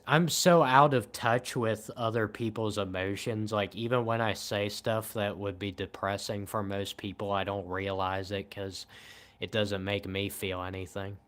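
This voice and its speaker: Casting note: young man in his twenties, livestream host, monotone delivery, lowish smooth voice. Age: 20s. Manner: monotone, calm and low-key, says he shows little emotion. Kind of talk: casual late-night livestream chat.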